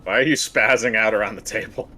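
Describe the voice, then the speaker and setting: Bright-voiced man chatting during gameplay. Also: on the low side, fairly even intonation, fast. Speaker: game streamer's companion. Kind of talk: casual chat during gameplay.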